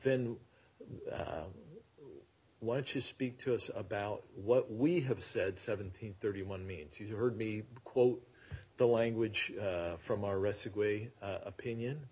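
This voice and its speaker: A man presiding as a judge, questioning lawyers in court with a probing, halting manner. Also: on the low side, a little mellow, breathy edge, low-quality recording. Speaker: appellate judge.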